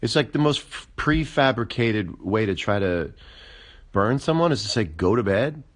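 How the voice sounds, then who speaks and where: A man of forty chatting casually on a phone livestream, musing aloud with wry, deadpan asides. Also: mid-range voice, moderate pace. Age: 40s. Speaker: livestream host.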